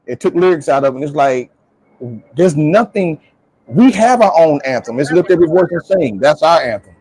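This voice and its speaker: A man's voice: a little high, expressive, moderate pace, darkish tone, slight background noise, poor audio. An American man in a casual, opinionated group discussion, speaking emphatically in colloquial language and repeating phrases for stress. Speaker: panelist on a talk show.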